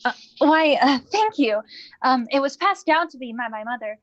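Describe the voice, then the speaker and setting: Young goddess female actor: breezy, playful, high pitched, slightly muffled lo-fi sound. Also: animated, normal speed, a bit bright. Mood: playful, coaxing. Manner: teasing, breezy. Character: playful, perceptive young goddess teasing her crush. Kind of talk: live staged play reading.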